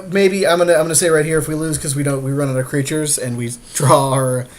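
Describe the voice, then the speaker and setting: A man's voice: a little high, steady tone, quick pace. A man chatting casually as a podcast co-host, chiming in with informal, slangy advice on a card game draft. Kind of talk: casual chat.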